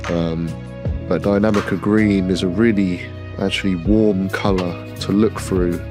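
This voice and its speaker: Low, slow man, nasal with a cold. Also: over music. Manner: relaxed, enthusiastic product talk with fillers. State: has a cold. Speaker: optician showing a frame job. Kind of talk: casual workshop vlog narration.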